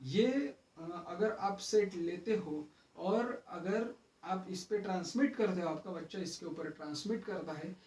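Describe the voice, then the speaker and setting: An Indian man with a rather high voice and a relaxed, dark-edged tone hosts a casual product unboxing. He explains things step by step in an instructional way, hesitating as he goes and restating his advice. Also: a little slow, breathy edge. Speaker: video host and product reviewer.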